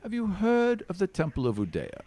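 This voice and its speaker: A man voicing a calm, self-assured dwarf seeker in a tabletop game, speaking formally with faint condescension. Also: high-pitched, brisk, voice pushed up. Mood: explaining calmly.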